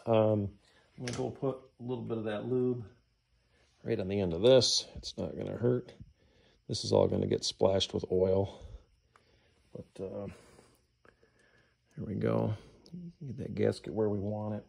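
A man's voice: fairly low pitch, steady tone, unhurried, gravelly, long pauses, a little mellow, breathy edge. A middle-aged man gives a casual hands-on tutorial, muttering to himself as he works in a garage. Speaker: DIY engine rebuilder giving a how-to. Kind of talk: casual hands-on tutorial, talking while working.

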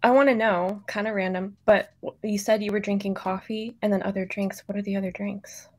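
A woman's voice: mid-range voice, fairly even intonation, brisk, darkish tone. A woman in her twenties co-hosting an interview over a video call in a casual, chatty style. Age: 20s. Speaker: co-host. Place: indoor room, video call.

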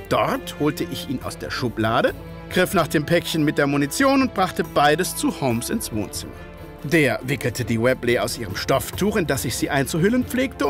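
A wordy gentleman memoirist narrating a detective radio play. His voice is high and very lively in pitch, with formal phrasing and light music around it. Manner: formal, expressive storytelling. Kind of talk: scripted first-person narration in radio play.

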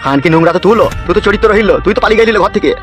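A man's voice: high voice, rapid-fire, over loud music, natural voice. A man voicing a corrupt, bullying village politician, bossy and foul-mouthed, in a comic cartoon. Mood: exasperated, blustering.

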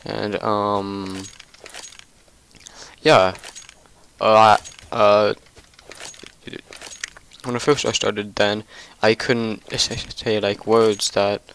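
A man's voice: fairly low pitch, level tone, very slow, long pauses, fairly bright tone, a little hiss or hum. A young man hosting a casual gameplay commentary, tired, stuttering often, with many fillers and restarts. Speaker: gaming channel host.

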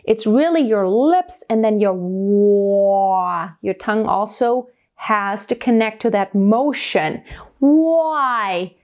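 A woman's voice: medium pitch, expressive, slow, a little mellow. A woman in her thirties with a light German accent gives a singing lesson to camera. She speaks animatedly in a home room with a piano and demonstrates sounds as she explains them. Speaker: singing teacher.

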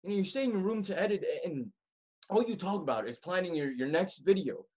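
A young man in his twenties performing a dramatic monologue as a worried, exasperated roommate pleading in halting phrases. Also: sits high, expressive, darkish tone. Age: 20s. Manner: halting, repeating words. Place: small room indoors at home. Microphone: webcam at arm's length.

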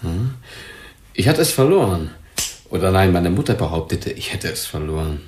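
A man acting in a radio drama as a brooding, hesitant son smothered by his mother, speaking in a halting, subdued way. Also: deep voice, very animated, a little mellow, echoey room, a little hiss or hum.

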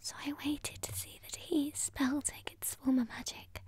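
Woman acting a slow, whispery, obsessive ghost fiancée. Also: high-pitched, flat delivery, darkish tone. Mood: coaxing, eerie. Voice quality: breathy, whispery. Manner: slow, intimate, possessive. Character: ghostly obsessive dead fiancée, clingy and menacing. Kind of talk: whispered ASMR roleplay.

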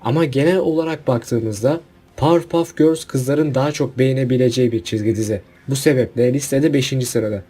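Male commentator, husky and relaxed, opinionated and jokey, casual countdown-video delivery. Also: medium pitch, a little fast. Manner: opinionated, jokey asides with rhetorical questions. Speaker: video host.

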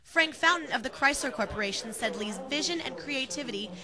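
A woman's voice: high-pitched, expressive, fairly quick, crowd noise. A young American woman reporting scripted TV news in a formal, enunciated newscaster delivery. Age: young adult.

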